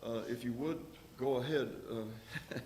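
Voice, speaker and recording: Man chairing a county meeting: folksy, halting, in a roomy, slightly noisy recording. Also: mid-range voice, darkish tone. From Texas. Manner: halting, many fillers and restarts, folksy. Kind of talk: public meeting remarks. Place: county commissioners court room. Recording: echoey room mic with faint noise.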